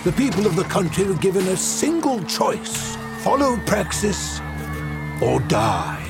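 An actor playing an ancient, grave warlock mentor in an audio drama, telling dark history in a portentous, deliberate way. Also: male voice, sits high, huge pitch swings, vocal fry, over music, heavily acted. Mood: ominous.

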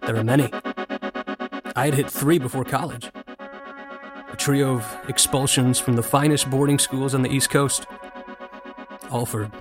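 An actor playing a privileged, brash young son in a first-person audio drama, wry and mouthy. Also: male voice, medium pitch, natural voice.

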